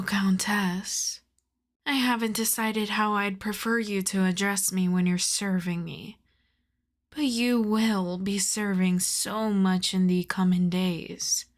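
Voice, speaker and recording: A seductive vampire countess played by a woman speaks in an intimate ASMR roleplay, drawing her words out very slowly. She slips between soft voice and half-whisper, teasing and possessive. Her commands come wrapped in endearments, with playful menace underneath. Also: mid-range voice, natural voice.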